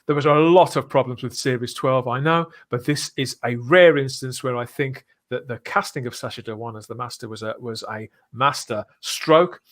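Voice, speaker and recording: A man hosting a live fan podcast, speaking in an earnest, emphatic way with deliberate phrasing. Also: mid-range voice, a bit bright. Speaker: podcast host.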